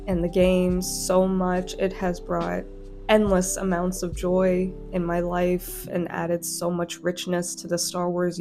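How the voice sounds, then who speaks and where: A woman with a slightly hoarse voice from a cold, making an earnest, heartfelt announcement to her channel's followers. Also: on the low side. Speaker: channel host and fan fiction author. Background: plucked guitar music.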